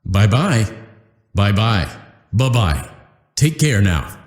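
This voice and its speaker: A glib, cheery corporate announcer for a video game. He talks with a polished sales-pitch lilt and a lively, swinging pitch. The voice rings with a roomy echo. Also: slow pace. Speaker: company announcer. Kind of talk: scripted game voice lines.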